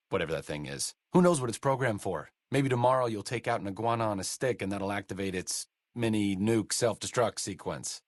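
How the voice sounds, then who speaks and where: A man's voice: medium pitch, dry recording. An actor playing a bookish, dry-witted male doctor, speaking with sarcastic, literate quips in video game dialogue. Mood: wry.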